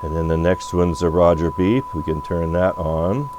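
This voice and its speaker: A man's voice: low voice, fairly even intonation, moderate pace. A man giving an unscripted, hands-on product demo, thinking aloud in an off-the-cuff way. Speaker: radio repair bench presenter. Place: electronics repair workbench. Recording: noisy recording with electrical hum.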